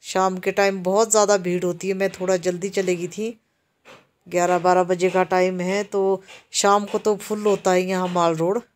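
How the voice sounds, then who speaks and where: A woman from Himachal Pradesh narrating a casual walking tour of a busy outdoor market, explaining the shops to viewers as she films while walking. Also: fairly low pitch. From Himachal Pradesh, India. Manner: slow, even-toned, explaining to viewers. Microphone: handheld camera while walking.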